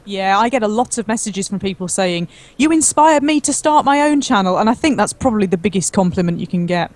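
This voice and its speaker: Medium-pitched woman in casual conversation. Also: expressive, fairly quick. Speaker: guest, gaming video creator. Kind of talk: casual live talk show chat.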